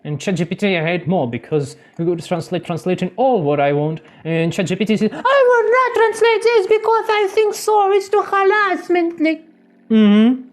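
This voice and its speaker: A man recaps a game's story in halting, non-native English and keeps wandering off into joking asides. His voice sits a little high for a man and jumps around a lot as he talks. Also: big pitch swings. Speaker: gaming channel host. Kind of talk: game story recap with commentary.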